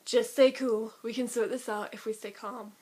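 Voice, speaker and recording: A young woman voicing a calm, cool, self-possessed young man in a measured manner, as an amateur vlog impression. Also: fairly high pitch, natural voice. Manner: unruffled, measured.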